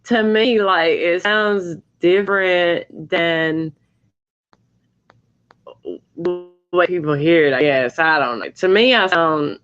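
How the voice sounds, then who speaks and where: A woman's voice: on the low side, slow pace, a bit bright, lo-fi sound, soft background music. A woman with a Southern US drawl, chatting casually and laid-back on a live stream. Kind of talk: casual chat.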